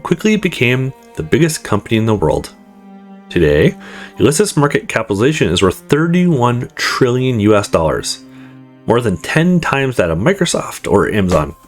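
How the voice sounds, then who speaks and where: Man narrating, lively swings, music behind. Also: mid-range voice. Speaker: let's play host reading a visual novel aloud. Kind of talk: dramatic narration of game dialogue. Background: game music.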